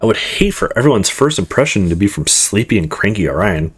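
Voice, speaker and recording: Visual novel lead: easygoing, dreamy young man, casual and slightly husky acted by a man. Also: on the low side, sing-song, a little fast. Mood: tired, easygoing. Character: young male student, absent-minded, easygoing visual novel hero.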